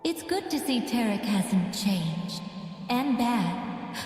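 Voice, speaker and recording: A woman playing a gentle, earnest young musician mage in a video game, speaking softly with measured poise. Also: medium pitch, lively intonation, soft background music. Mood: gently wry. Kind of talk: video game character voice lines. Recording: cavernous echo and long reverb.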